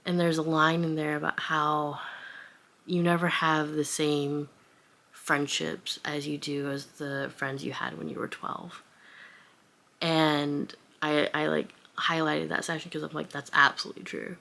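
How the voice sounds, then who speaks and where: Low-pitched young woman chatting casually. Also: flat delivery, a little slow, creaky, bright, forceful tone. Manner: rambling, informal, frequent "like". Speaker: book vlogger. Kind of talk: casual unscripted chat.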